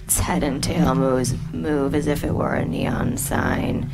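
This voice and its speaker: A woman reads scene descriptions at a live reading, unhurried and measured. Her voice is extremely low and gravelly, with lively rises and falls. Loud music plays over her. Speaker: narrator. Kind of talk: reading stage directions aloud at a live script reading.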